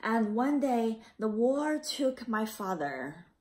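Slow, higher-pitched woman reading a storybook aloud. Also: sing-song, a little mellow. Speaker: children's picture book reader.

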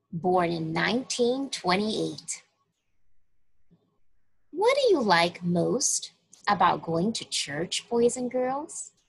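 A woman reading a story aloud to young children in a deliberate, expressive way, addressing them directly. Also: medium pitch, creaky, breathy edge, poor audio. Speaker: children's story reader at a church.

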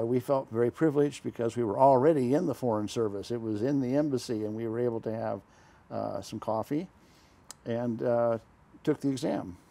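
Gravelly older man telling stories. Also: mid-range voice, warm tone. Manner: rambling, halting, frequent uh and self-corrections, chuckles. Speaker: guest, retired diplomat. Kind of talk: conversational storytelling interview. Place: small dark studio set, indoors. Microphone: lapel mic.

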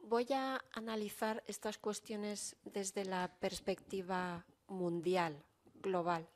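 Woman doing steady simultaneous interpreting. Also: mid-range voice, slow pace.

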